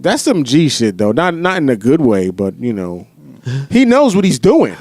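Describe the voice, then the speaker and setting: A man's voice: medium pitch, big pitch swings, moderate pace, a little mellow, dry recording. American man, slangy, in a dry recording. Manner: slangy, emphatic. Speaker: podcast co-host. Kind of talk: casual podcast chat.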